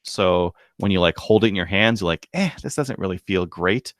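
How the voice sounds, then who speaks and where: A man's voice: deep voice, animated, moderate pace, no room sound, clean studio sound. A man co-hosting a podcast, chatting casually and jokingly in a laid-back way. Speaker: podcast co-host. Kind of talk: casual banter about shooting and gear.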